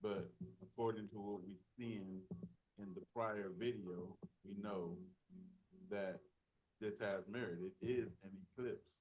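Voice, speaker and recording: A man narrating an online news commentary clip, slow and measured. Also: fairly low pitch, steady tone, husky, muffled recording.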